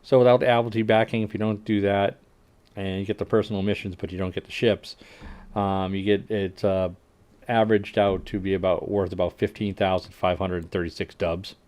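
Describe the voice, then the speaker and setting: A man with a fairly low voice talks through game update notes in a loose, livestream way. He reads the notes, stumbles over numbers with fillers and restarts, then drops dry little asides that fade to a mutter. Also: flat delivery, moderate pace. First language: English. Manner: reads notes, then adds wry asides that trail off quietly; frequent fillers and restarts while working out numbers. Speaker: livestream host. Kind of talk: casual commentary reading game update notes aloud.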